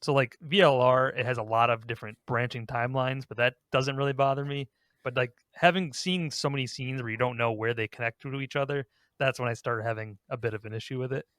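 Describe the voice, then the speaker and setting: A man's voice: mid-range voice, fairly even intonation, a little fast, a bit bright, no room sound. A man hosting a casual group discussion, talking in a rambling, self-correcting way. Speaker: podcast host.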